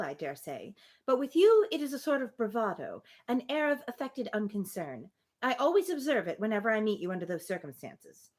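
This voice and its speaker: A woman hosting a live reading of a classic novel, reading expressively with casual, amused asides. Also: fairly high pitch. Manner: expressive reading, breaks off for informal amused commentary. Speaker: host reading a novel aloud on a livestream.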